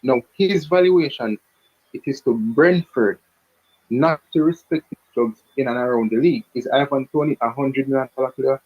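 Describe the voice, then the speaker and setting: A man calling in to a sports show, explaining his football opinions deliberately and often checking he is followed. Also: medium pitch. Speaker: caller giving football opinions. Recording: dull lo-fi call audio.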